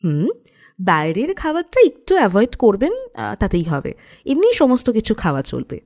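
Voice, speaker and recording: A woman's voice: medium pitch, wildly swooping pitch, moderate pace, darkish tone. A woman playing a busy clinic doctor in an audio drama, matter-of-fact and instructing, reassuring yet a little stern. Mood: calm, reassuring. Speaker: voice actor. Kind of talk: audio story dramatic acting.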